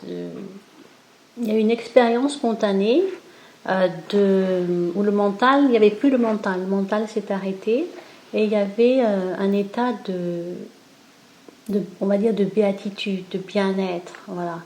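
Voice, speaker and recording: Gentle hesitant woman, echoey room. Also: medium pitch. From Cambodia. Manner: gentle, hesitant, frequent pauses and fillers. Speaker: guest. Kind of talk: spontaneous interview, reflective talk.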